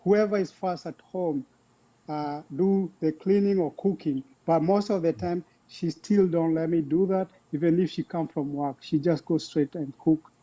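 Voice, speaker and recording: A middle-aged man with a Kenyan accent, talking casually and haltingly about himself as a guest caller. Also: a little high, steady tone, mellow, poor audio. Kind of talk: casual personal talk.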